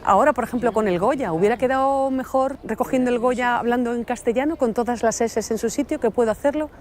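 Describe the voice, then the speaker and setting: A woman's voice: on the high side, fast, a little mellow. Andalusian woman, actress, firm and proud, faint outdoor noise. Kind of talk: interview talk.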